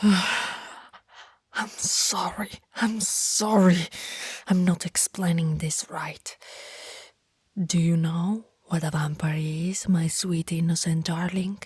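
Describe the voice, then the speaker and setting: Woman as a breathy, whispering, lovestruck female vampire. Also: lowish voice, fairly even intonation, no echo, studio quality, full character voice. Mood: regretful, tender. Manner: breathy, slow, archaic formal phrasing with sighs. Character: centuries-old lovestruck female vampire, sorrowful and tender. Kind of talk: whispered ASMR roleplay.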